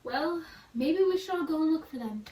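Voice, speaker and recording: Woman acting a practical studio worker, questioning, high voice, radio drama. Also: animated, normal speed, mellow. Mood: concerned.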